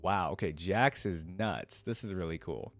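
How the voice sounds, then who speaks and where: A man's voice: low-pitched, measured pace, dry recording. A man reading a fantasy story aloud and adding enthusiastic, informal fan commentary as a reaction video host.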